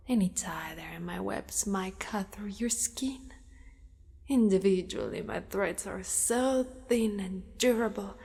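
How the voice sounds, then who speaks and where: In a long reverb, a woman acts out a possessive spider-monster woman for an ASMR roleplay. She speaks very slowly, often dropping to a whisper. Her manner is menacing yet doting, coaxing and deliberate. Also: fairly high pitch, darkish tone. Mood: coolly menacing. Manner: slow, deliberate, sinister coaxing. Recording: long reverberant cave-like echo.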